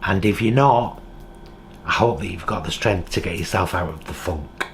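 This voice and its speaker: A man's voice: deep voice, moderate pace, slight background noise. A British man talking in a heartfelt, reflective vlog monologue from his home kitchen. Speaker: vlogger.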